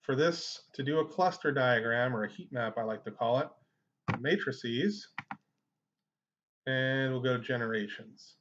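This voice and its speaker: A man's voice: mid-range voice, measured pace, fairly bright tone, slight background noise. A man presenting an informal screen-share tutorial, explaining things in a conversational way. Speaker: genealogy tutorial host. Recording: dull lo-fi microphone sound.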